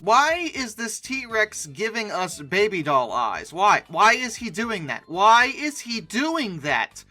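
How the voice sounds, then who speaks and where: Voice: medium pitch, sing-song, slow pace, few pauses, sharp tone. A comedic online host giving sarcastic reaction commentary with theatrical mock outrage. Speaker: YouTube host reacting to images.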